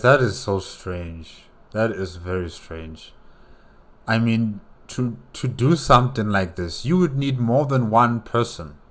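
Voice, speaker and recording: A man hosting a reaction video, giving casual commentary and reasoning aloud in a halting, repetitive way. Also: fairly low pitch. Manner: halting, repeats himself while reasoning aloud.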